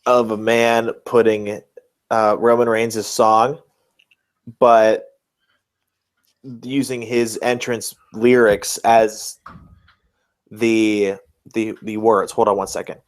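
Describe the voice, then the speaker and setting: A man's voice: fairly low pitch, flat delivery, takes it slowly, pauses often. A man co-hosting a podcast, reacting off the cuff in casual chat. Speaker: podcast co-host.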